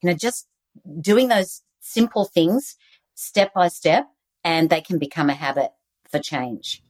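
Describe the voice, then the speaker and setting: An Australian woman, a guest author on leadership, giving earnest, animated interview answers over a video call. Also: fairly low pitch, measured pace.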